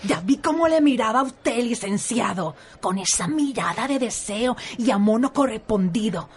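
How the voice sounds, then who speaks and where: Melodramatic jealous heroine played by an actress, high voice, formal delivery, faint noise. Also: female voice, expressive, moderate pace, natural voice. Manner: dramatic, formal. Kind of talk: parody radio soap opera acting.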